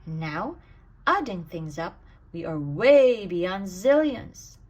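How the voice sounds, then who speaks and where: A woman reading a rhyming children's bedtime story aloud in an expressive, sing-song way with emphatic stresses. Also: lowish voice, slow pace. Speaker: storybook reader.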